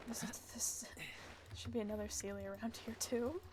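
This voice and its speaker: A woman's voice: fairly high pitch, steady tone, moderate pace, over music. A young woman chatting casually and muttering to herself as she plays a game on a livestream. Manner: mutters to self while playing. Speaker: game streamer. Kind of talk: casual livestream gameplay chat. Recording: faint noise, keyboard and mouse clicks.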